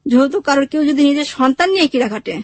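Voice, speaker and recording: A high-pitched woman with a Bangladeshi accent tells a personal story. Her speech is plain and colloquial, full of quoted exchanges, and the recording is close and dry. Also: expressive, quick pace, no room sound. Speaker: interview guest telling own life story.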